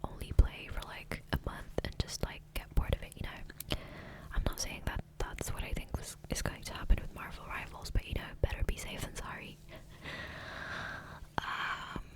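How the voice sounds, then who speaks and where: A woman whispering softly and breathily in a casual, rambling ASMR style, gentle and unhurried, close to the microphone. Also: low-quality recording. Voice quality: soft breathy unvoiced whisper. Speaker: ASMR gaming content creator and host. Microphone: close USB condenser microphone.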